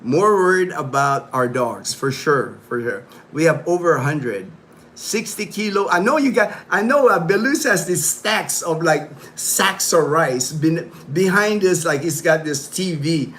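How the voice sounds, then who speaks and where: A man's voice: fairly high pitch, lively intonation, measured pace, fairly bright tone, a little hiss or hum. A man hosting a casual livestream from his home, rambling in loose talk full of "you know", "like" and "man". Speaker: livestream host.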